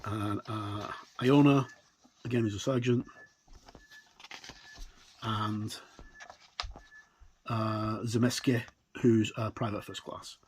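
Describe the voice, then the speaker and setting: A man giving a casual, unscripted review as he leafs through a product, talking in halting, rambling short phrases. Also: fairly low pitch, steady tone, darkish tone, low-quality recording. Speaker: tabletop game reviewer. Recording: clear background noise with clicks.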